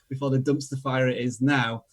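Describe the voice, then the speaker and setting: Yorkshire male guest, casual and halting, mid-range voice. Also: mid-range voice. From England. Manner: relaxed, hesitant with many fillers, self-deprecating. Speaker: guest expert. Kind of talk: casual online show chat.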